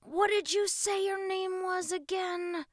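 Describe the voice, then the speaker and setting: Woman playing a doubtful boy, animated and defiant. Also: sits high, a little slow, no echo, studio quality, pitched above the performer's own voice, exaggerated voice. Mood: suspicious. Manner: grumbling, mocking, suspicious.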